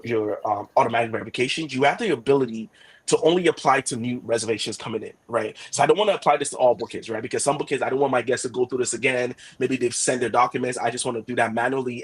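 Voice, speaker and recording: Fast-talking man with a husky voice. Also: medium pitch, rough recording. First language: Yoruba. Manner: fast, frequent "right?" checks, thinking aloud with "um". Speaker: product presenter. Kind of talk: product demo webinar talk.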